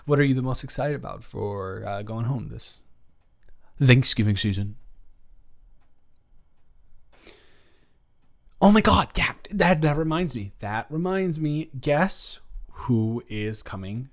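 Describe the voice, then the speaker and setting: A man's voice: medium pitch, animated, creaky. A young American man playing a chatty, awkward best friend in an improvised audio roleplay, talking casually and often interrupting himself. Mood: upbeat, chatty. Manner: rambling, halting, self-interrupting. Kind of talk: improvised boyfriend-style audio roleplay, casual one-sided chat.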